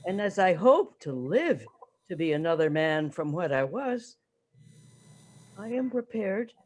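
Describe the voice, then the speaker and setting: A woman's voice: medium pitch, a little mellow. A woman playing a fearful, humbled old male miser in a dramatic story reading, solemn and earnest.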